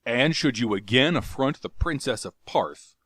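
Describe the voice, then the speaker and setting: A man's voice: medium pitch, expressive, normal speed, a bit bright, no room sound, voice pushed up. A man voicing a bold, impatient male warrior who speaks in a commanding way.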